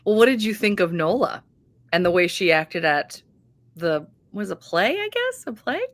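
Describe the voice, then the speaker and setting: A woman in a casual book discussion, informal and animated, putting questions to the others and agreeing readily. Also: fairly low pitch, moderate pace, dry recording. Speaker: discussion moderator.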